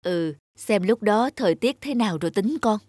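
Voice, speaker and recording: A woman's voice: medium pitch, expressive, moderate pace, natural voice. Affectionate cartoon mother played by a woman. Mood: gently concerned. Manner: affectionate, gently concerned. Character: caring, proud mother of a young boy.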